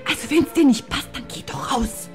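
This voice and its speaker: A dramatic wife, played by a woman in an old radio comedy, fairly high in pitch, who both swoons and scolds. Music runs clearly behind her voice. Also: very animated, normal speed. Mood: exasperated. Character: swooning, exasperated wife besotted with TV singer. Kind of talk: comic radio play dialogue.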